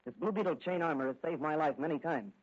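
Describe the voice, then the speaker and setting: A confident young cop hero from a 1940s radio serial, played by a male actor. He talks fast in a slightly high, take-charge voice. The old recording is dull and muffled. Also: lightly acted. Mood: confident, determined. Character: confident, brisk young police officer and masked crime-fighter.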